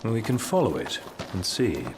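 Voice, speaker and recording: Low-voiced, composed advisor. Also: male voice, huge pitch swings, normal speed, noisy background. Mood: dry, measured. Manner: formal, dry, articulate. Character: precise, composed, scholarly young male advisor. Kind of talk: video game voice acting. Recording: loud music under the voice.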